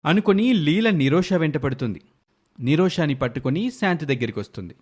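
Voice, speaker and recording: A male storyteller narrates a moral tale from a script. His voice sits a little higher than most men's. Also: expressive, brisk, a little mellow, breathy edge.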